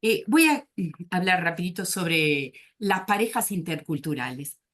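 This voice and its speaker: Animated woman giving a thoughtful talk. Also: on the low side, unhurried. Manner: thoughtful, searching for words with frequent hesitations. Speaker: psychoanalyst panelist. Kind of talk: academic conference talk.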